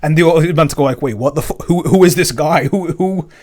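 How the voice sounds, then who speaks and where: A man co-hosting a podcast in casual, unscripted talk, speaking haltingly and often repeating his words. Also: on the high side. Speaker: podcast co-host and composer.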